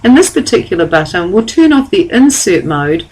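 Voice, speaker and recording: A woman giving a calm, step-by-step software tutorial over a screen recording. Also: mid-range voice, moderate pace, husky, rough recording. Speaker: software trainer.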